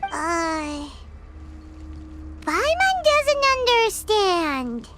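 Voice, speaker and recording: A tiny, peppy fairy sidekick chirps in a squeaky, very high childlike voice. The delivery is cartoonish anime game acting, with faint music behind. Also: female voice, very animated, extremely slow, a bit bright, exaggerated voice. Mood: confused. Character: tiny, childlike, peppy fairy companion who speaks in third person.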